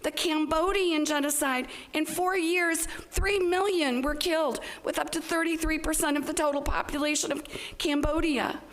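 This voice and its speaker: A woman giving a halting, plain-spoken public comment, partly read out, at a council meeting. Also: high voice, fairly even intonation, a bit bright.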